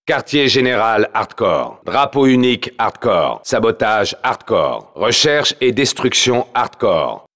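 Tough soldier announcer, gravelly, commanding, terse, animated pitch swings, video game dub. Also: male voice, takes it slowly, hardly any pauses, exaggerated voice. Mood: clipped, controlled. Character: tough male soldier calling out battle orders. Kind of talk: dubbed military game announcements.

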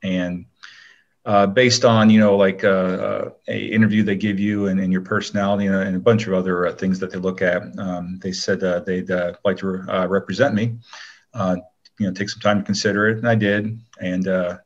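Deep-voiced middle-aged man, slightly dull sound. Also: little pitch movement, moderate pace. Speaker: interview guest, actor. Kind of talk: casual interview talk.

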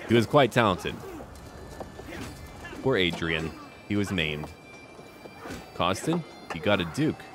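A man's voice: low voice, a bit bright. A man giving casual running commentary on a strategy game, talking to himself and to the game's characters. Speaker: gameplay video host. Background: music.

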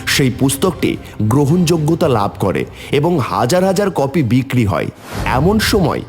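A man's voice: mid-range voice, sing-song, a little slow, soft background music. A man narrating a scripted story in a dramatic storytelling style.

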